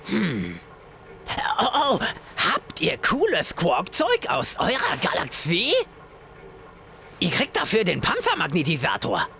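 A man's voice: sits high, wildly swooping pitch, slow pace, vocal fry, pushed and bright, heavily acted. A man voicing a whiny, theatrical superhero fanatic in a video game cutscene. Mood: eager.

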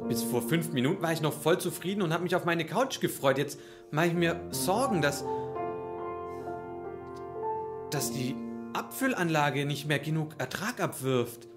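A man playing an easygoing but worried bottling worker in an improvised radio play, talking colloquially and haltingly. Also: a little high, animated, over loud music, lightly acted. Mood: worried, admiring. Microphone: stand microphone.

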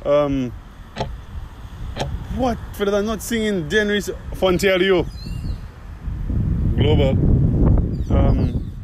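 A man's voice: high voice, lively intonation, slow, long pauses, very noisy. A young man from St. Lucia answering a street quiz outdoors in a playful, exclaiming way. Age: young adult. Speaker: interviewee. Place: outdoors in a town square park. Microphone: interviewer's handheld mic.

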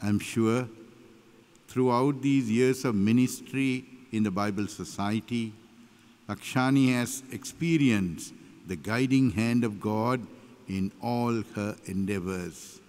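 A man in his sixties with a Sri Lankan accent, a bishop giving a deliberate, formal church address at a lectern. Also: lowish voice, a little mellow. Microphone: gooseneck lectern microphone. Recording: echoey hall, long reverb.

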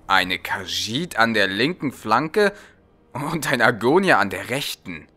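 A man's voice: on the low side, very animated, slow, sharp tone, exaggerated voice. A man voicing a suspicious, commanding emperor in a blunt manner for a fantasy audiobook. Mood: distrustful. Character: suspicious, brooding male emperor, commanding and distrustful.

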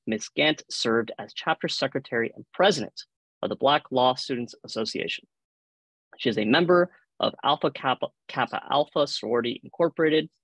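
A man's voice: mid-range voice, gravelly, fairly bright tone, dry recording, rough recording. Male moderator with Cuban accent, dry sound. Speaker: panel moderator. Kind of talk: formal scripted introductions, reading biographies. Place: indoor room on a video call. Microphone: video call microphone.

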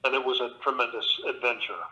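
A man with a big, bright, forward voice, chatting casually as an interview guest. He wanders through his stories, restarting sentences and filling gaps with "uh". His sound comes over a thin remote-call connection. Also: medium pitch, quick pace, highs cut off. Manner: relaxed storytelling with many fillers and restarts. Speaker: guest actor and audiobook narrator. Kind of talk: conversational interview.

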